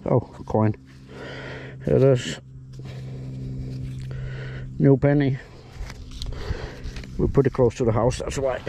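A man giving casual running commentary while digging outdoors, muttering to himself in an unhurried way. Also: medium pitch, steady tone, creaky, warm tone, slight background noise. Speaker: metal detecting vlogger. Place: outdoors at an old farm house yard.

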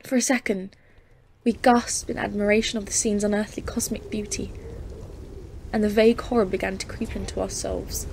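A woman's voice: mid-range voice, level tone, normal speed, creaky, a little mellow, slight background noise, close to an everyday voice. A woman playing a grave, awed professor in an audio play, speaking formally and intensely as a literary horror narrator. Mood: grave, haunted. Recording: music under the voice. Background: dramatic music.

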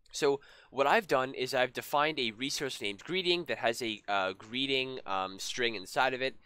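A young man explains code over his screen in an easygoing, rambling way, with plenty of ums. His voice is bright and pushed forward, a little hoarse from a cold. The sound is dry and close from a headset in a small room. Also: medium pitch, no echo. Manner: relaxed, many ums and restarts. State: slightly hoarse from being sick. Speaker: programming tutorial host. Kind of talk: casual screen-share coding lesson.

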